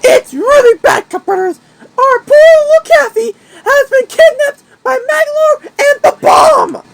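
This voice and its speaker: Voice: very high voice, lively intonation, a little slow, noisy background, voice pushed up. A performer voicing a frantic little hamster who cries and begs for help in a homemade puppet drama. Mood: tearful, pleading. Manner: crying, begging, shouting.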